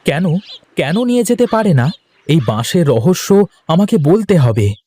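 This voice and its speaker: A man voicing an earnest, determined young village woodcutter in a cartoon, excitable and repeating his words. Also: on the high side, measured pace. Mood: tense, determined. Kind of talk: cartoon voice acting.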